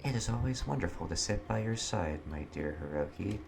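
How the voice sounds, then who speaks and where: A man voicing an elegant, gentle young actress who speaks in a soft, polite, formal way to her beloved, with Japanese music playing behind. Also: low-pitched, expressive, a little fast, gravelly. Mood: tender, concerned.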